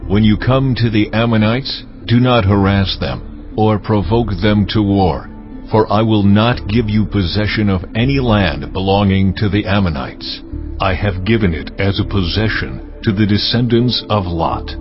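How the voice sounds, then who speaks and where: A man's voice: lowish voice, normal speed, lightly acted. A man voicing the Lord as a solemn, commanding divine male voice in a dramatized scripture reading. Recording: dull lo-fi audio with faint music.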